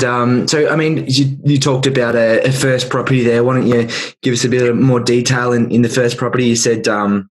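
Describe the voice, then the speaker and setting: A man's voice: mid-range voice, low-quality recording. A man hosting a podcast, asking long, loose questions full of fillers and repeated starts. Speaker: podcast host. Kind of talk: casual interview questions.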